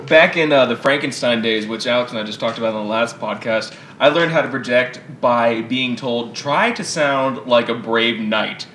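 A man's voice: medium pitch, normal speed, hardly any pauses, a bit bright, echoey room, slight background noise. A young man co-hosting a casual podcast, theatrical and enthusiastic. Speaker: podcast co-host. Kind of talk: casual chat.

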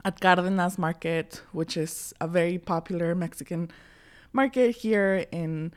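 A woman's voice: fairly low pitch, unhurried. Upbeat woman podcast co-host. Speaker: podcast co-host and field reporter. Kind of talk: casual podcast chat.

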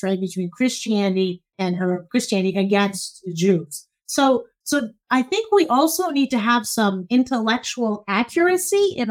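Female law professor from Egypt, guest; measured, emphatic, slightly high voice. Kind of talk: interview, analytical explanation.

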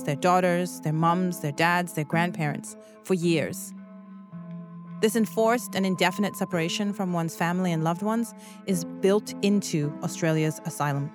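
A woman hosting a podcast, reading scripted documentary narration in a measured, earnest way. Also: fairly low pitch.

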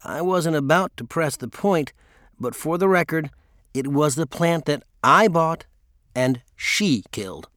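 Male narrator for memoir audiobook, mid-pitched, scripted read, dry recording. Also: fairly bright tone, no echo.

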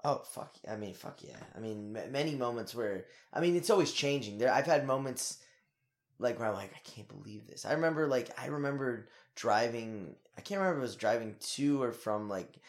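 A young man, an actor, talking in a casual interview in an energetic, rambling way with lots of fillers and swearing. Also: mid-range voice, brisk.

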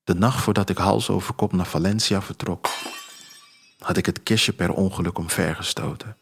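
A man narrating a scripted first-person story in a measured, literary way. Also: low voice, steady tone, vocal fry, background noise. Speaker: author reading own story as narrator. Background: music.

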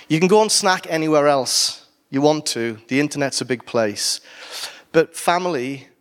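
A man's voice: fairly high pitch, normal speed. A man from Wales with a Welsh accent, preaching a sermon in a conversational way with humorous asides.

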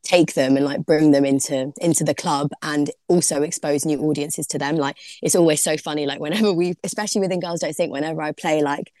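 A woman's voice: low-pitched, fairly even intonation, fairly quick, few pauses, warm tone, no room sound, studio quality. A young woman, a DJ guest on a podcast, chatting casually and filling her talk with "like". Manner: chatty, heavy use of "like", laughs easily. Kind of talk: casual interview chat.

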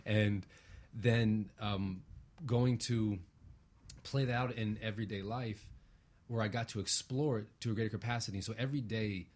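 A man's voice: fairly low pitch, steady tone, normal speed, a little mellow. A man speaking as an interview guest in a reflective, earnest way, using abstract spiritual phrasing and often checking for agreement.

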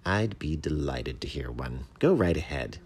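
A man's voice: deep voice, huge pitch swings, unhurried, vocal fry, breathy edge, full character voice. A man voicing a self-important but courteous mockingbird, a pedantic poetry critic in a storybook. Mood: cordial. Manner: pompous, polite, pedantic.

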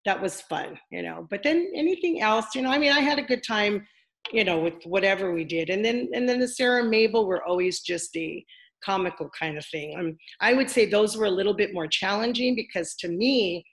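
Older woman, deep and gravelly, chatty interview guest, rambling with fillers. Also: lively intonation, fairly quick. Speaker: former wrestler, interview guest. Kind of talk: casual interview.

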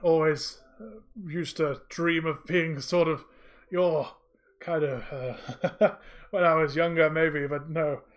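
A man's voice: a little high, steady tone, slow. A man playing a gracious old town speaker. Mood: courteous. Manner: hesitant, apologetic, courteous. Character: tall older male town speaker, gracious and polite.

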